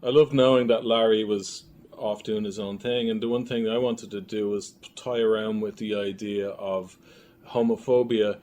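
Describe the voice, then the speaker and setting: A man's voice: fairly low pitch, fairly even intonation, moderate pace, a little mellow. A man speaking as an interview guest, looking back on events in casual, reflective conversation. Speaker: filmmaker and writer, interview guest. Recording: clearly noisy room ambience.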